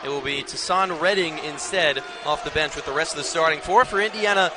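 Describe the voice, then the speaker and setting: A man's voice: fairly high pitch, brisk. An American man doing live basketball play-by-play as an announcer, with an emphatic, projected broadcast delivery. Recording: loud arena crowd noise behind. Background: crowd and basketball bounce.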